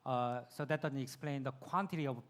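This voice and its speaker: A man whose first language is Korean, speaking English at mid pitch as he answers questions on stage. He explains his ideas thoughtfully and at length. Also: brisk, a bit bright. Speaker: conference speaker.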